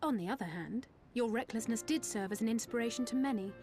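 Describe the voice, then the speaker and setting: A woman's voice: fairly high pitch, quick pace, darkish tone, faint music behind, natural voice. A woman playing a young, articulate adventurer of noble bearing in a fantasy game, confident, formal and dryly pointed. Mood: pointed consoling.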